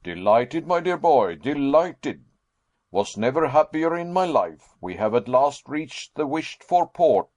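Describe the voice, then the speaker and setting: Man doing a booming jolly old professor. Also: sing-song, darkish tone, no echo. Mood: jovial. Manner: hearty, booming, brisk. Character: jovial excitable elderly male professor explorer.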